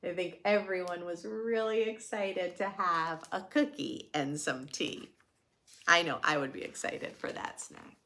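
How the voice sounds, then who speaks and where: A woman hosting storytime, reading a picture book aloud to preschoolers in a gentle, expressive way and explaining it simply. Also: fairly low pitch, unhurried. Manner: gentle, expressive, addressing young children with questions. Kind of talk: children's read-aloud with simple explanations and questions.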